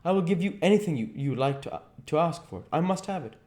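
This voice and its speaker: A man's voice: fairly high pitch, lively intonation, fast talker, a little mellow, pitched above the performer's own voice, lightly acted. A man doing a witty, languid aristocrat. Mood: amused.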